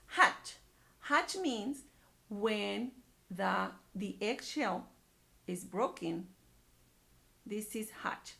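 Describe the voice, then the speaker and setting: A woman's voice: mid-range voice, expressive, drawn-out words, creaky, long pauses, bright, forceful tone. A woman teacher reading aloud to young children, using simple words, repetition and questions. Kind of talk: animated read-aloud lesson.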